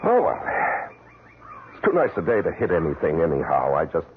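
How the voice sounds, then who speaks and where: An actor playing a reserved, courteous, well-to-do widower in an old-time radio drama, with a polite, hesitant manner. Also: male voice, extremely low pitch, wildly swooping pitch, brisk, slightly rough, heavily acted. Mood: cheerful, puzzled. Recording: noisy, tinny old radio recording.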